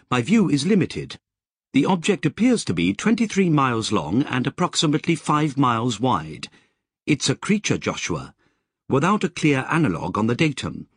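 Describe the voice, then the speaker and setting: A man voicing a precise, curious artificial intelligence, speaking crisply and formally. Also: on the high side, no echo, clean studio sound, voice pushed up, full character voice.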